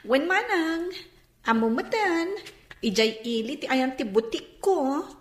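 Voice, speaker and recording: High-voiced, coaxing young woman. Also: lively intonation, takes it slowly. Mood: friendly, concerned. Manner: coaxing, pleading. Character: kindly, persuasive young woman cousin, boutique owner. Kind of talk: radio drama acting. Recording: echoey room sound.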